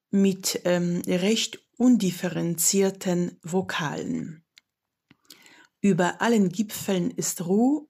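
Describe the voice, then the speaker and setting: Slow, husky Polish-accented woman. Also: lowish voice, darkish tone. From Poland. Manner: measured and instructive, demonstrates examples. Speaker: voice coach. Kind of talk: slow, deliberate expert explanation.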